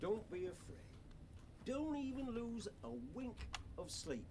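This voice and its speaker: An actor playing a bluff, swaggering prime minister, who uses chummy slang. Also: male voice, very high voice, lively intonation, a little slow, background noise.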